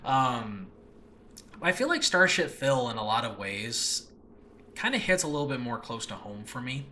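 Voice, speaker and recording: A man's voice: mid-range voice, sing-song, normal speed, sharp tone. A man hosting a casual solo podcast, talking enthusiastically and off the cuff, often rambling and interrupting himself. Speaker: podcast host, writer and voice actor of audio dramas. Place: room in a new home, no regular recording space. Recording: faint background noise, makeshift home recording space.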